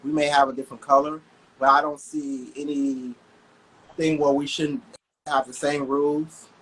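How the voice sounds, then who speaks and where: A man's voice: on the high side, flat delivery, normal speed, fairly bright tone, slight background noise, low-quality recording. A man co-hosting a podcast, talking bluntly and emphatically in casual chat, with some joking. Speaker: podcast co-host.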